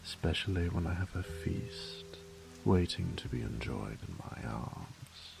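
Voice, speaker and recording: A man playing a cruel, gloating vampire lord in a horror audio roleplay, theatrical and menacing, often slipping into breathy whispers. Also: deep voice, big pitch swings, unhurried, over music, exaggerated voice. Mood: hungry, possessive. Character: cruel, gloating male vampire lord savoring his human prey.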